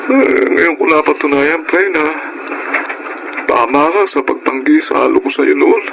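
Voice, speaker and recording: A man's voice: fairly high pitch, unhurried, vocal fry. A Filipino man playing a beaten-up, regretful young gigolo in a radio drama, on a noisy recording. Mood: pained, regretful.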